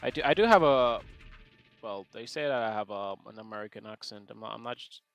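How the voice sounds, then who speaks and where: A man's voice: on the low side, fairly quick, gravelly, fairly bright tone, clean tone, faint music behind. A man from Jamaica hosting a live trading stream, chatting casually and joking with viewers in the chat. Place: home setup, UK.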